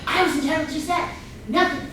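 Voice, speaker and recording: Woman doing scheming ex-wife fake doctor, very high bright voice, stagey n mocking, echoey room. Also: steady tone, quick pace. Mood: indignant. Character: scorned ex-wife posing as a doctor, gloating and indignant. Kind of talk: comedy staged reading. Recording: distant mic, echoey room, faint noise.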